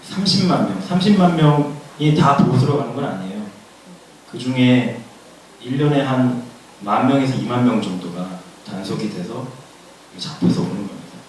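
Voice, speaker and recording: Male forum speaker, hoarse from a cold, repeats key words, asks the audience questions; mid-pitched, picked up from a distance in a very echoey room with faint hiss. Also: darkish tone. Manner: plain explanatory, repeats key words for emphasis. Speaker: forum presenter. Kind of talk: public lecture. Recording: echoey room, far from the mic.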